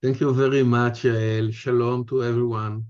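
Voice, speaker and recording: An older man with an Israeli accent delivers a prepared lecture over a video call. His voice is mellow and relaxed, and he moves in deliberate, measured phrases. The audio is dull and lo-fi. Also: medium pitch. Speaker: speaker, retired history professor. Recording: muffled, lo-fi webinar audio.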